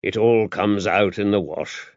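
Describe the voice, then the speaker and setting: A mild male priest played by an audiobook narrator, tactful and polite. He speaks softly, almost murmuring. The recording is dry and close. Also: fairly low pitch, a little slow, dry recording, muffled recording. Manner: soft-spoken, murmuring, polite. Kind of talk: audiobook character dialogue.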